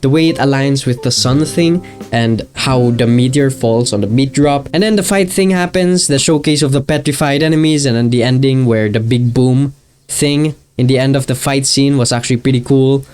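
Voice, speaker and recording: A man's voice: mid-range voice, normal speed, darkish tone, clean tone. A man giving casual, scripted video commentary in an informal, self-deprecating, jokey way. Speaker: video essayist, channel host. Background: guitar and plucked-string music.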